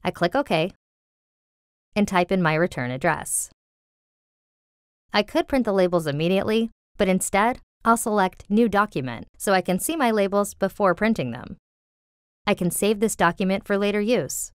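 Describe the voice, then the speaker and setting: A woman's voice: mid-range voice, animated, moderate pace, smooth, no echo, studio quality. A woman working as a voiceover artist, reading scripted narration for commercials and tutorials.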